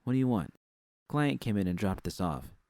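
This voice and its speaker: Man voicing a brash, deep-voiced female bar boss. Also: big pitch swings, normal speed, a little mellow, no room sound, studio quality. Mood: impatient, then bossy. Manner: curt, huffy, bossy.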